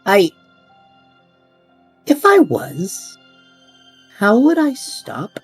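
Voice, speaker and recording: A woman's voice: mid-range voice, huge pitch swings, drawn-out words, husky, no room sound, soft background music, full character voice. A woman voicing a restless young male time traveler who whines and rambles dramatically, in an audio drama. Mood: bored whining.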